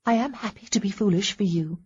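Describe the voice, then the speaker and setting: Woman doing a breathy, flustered young woman. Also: medium pitch, brisk, a little mellow, highs cut off. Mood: nervous cheer. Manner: fussing, nervous chatter with a tremble. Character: tender, flustered young woman, anxious and hopeful. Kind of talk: audiobook character voice.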